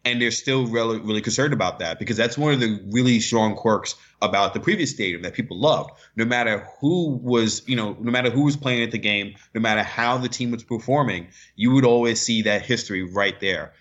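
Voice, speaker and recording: A man's voice: mid-range voice, fairly quick, hardly any pauses, a bit bright. A man speaking as a guest sportswriter, explaining things conversationally with frequent asides, over a lo-fi connection.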